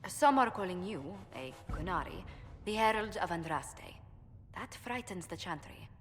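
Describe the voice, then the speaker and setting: A woman's voice: on the low side, animated, lightly acted. Grave spymaster played by a woman in echoing hall. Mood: grave, cautioning. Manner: measured, ominous. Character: cool, grave woman spymaster of a fantasy army. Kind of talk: video game cutscene acting. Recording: long reverb, clear background noise.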